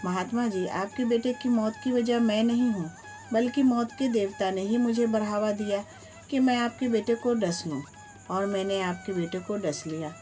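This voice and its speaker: A woman's voice: medium pitch, moderate pace, dark tone, lightly acted. A woman voicing a talking snake that defends itself in a storybook tale. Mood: defensive. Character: talking snake shifting blame onto death. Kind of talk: storybook narration.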